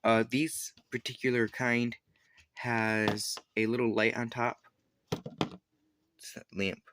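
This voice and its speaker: A man giving a casual, unscripted product demonstration, explaining things haltingly and correcting himself as he goes. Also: mid-range voice, level tone, noisy background. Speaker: product reviewer.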